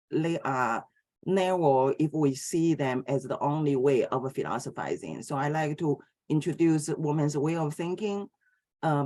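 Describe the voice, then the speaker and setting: A middle-aged woman from Taiwan, giving an academic philosophy lecture in a hesitant way, with many pauses and filler sounds. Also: low voice, darkish tone.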